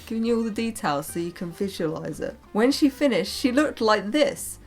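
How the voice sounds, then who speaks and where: A woman's voice: mid-range voice, animated, moderate pace, darkish tone, soft background music. A young British woman reading her own childhood story aloud with casual, playful, self-mocking commentary. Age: young adult. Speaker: vlogger.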